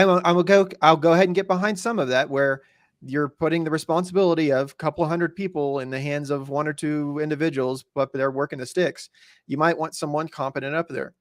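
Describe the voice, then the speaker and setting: A man's voice: fairly high pitch, no echo, studio quality. An American man giving conversational news commentary as a podcast host, explaining things methodically as he goes. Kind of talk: conversational news commentary, reading and dissecting an article.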